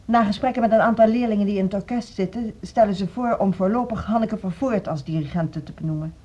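An actress playing a composed, businesslike woman in charge of a music school meeting, measured and formal, in a radio drama. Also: mid-range voice, dark tone, breathy edge, lo-fi sound, natural voice. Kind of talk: naturalistic Dutch radio drama acting.

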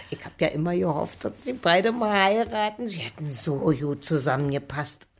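A woman's voice: deep voice, lively intonation, normal speed. An actress playing a sentimental, gossipy German landlady in a radio drama, effusive and given to sighing. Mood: fond, coaxing.

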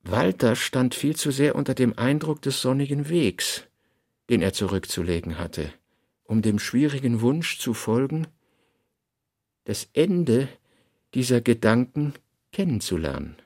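Second narrator: male, medium register, animated intonation, scripted literary reading for radio. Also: mid-range voice, measured pace. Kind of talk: scripted reading of literary text and author's notes. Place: studio.

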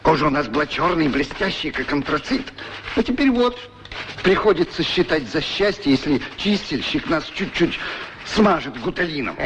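Actor as grumpy talking shoes, swooping voice. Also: male voice, on the high side, lively intonation, normal speed, lightly acted. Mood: proud, wistful. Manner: curt, huffy. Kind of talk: radio play acting. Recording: noisy old radio play recording.

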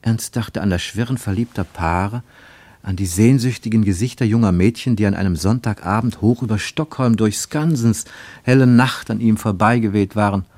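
A man narrating a radio-play travelogue in a measured, expressive storytelling manner. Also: on the low side, no room sound.

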